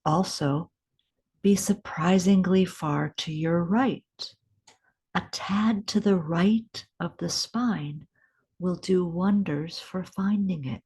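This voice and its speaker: A woman leads a guided meditation in a low, husky voice. She speaks very slowly and gently, leaving deliberate pauses between short instructional phrases. Her tone is soft, with breathy edges.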